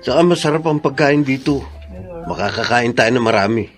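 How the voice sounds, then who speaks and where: An actor playing a protective, blunt older brother in a radio drama, speaking with a Filipino accent. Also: male voice, mid-range voice, fairly quick, faint music behind, lightly acted. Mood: friendly, concerned.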